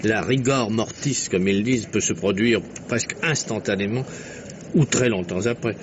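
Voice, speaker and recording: An actor playing a keen, talkative journalist turned amateur detective in an old French radio mystery, theatrical and reasoning step by step. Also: male voice, on the low side, moderate pace, lightly acted. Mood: musing, wry. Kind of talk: mid-century French radio mystery acting. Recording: noisy, muffled old radio drama recording.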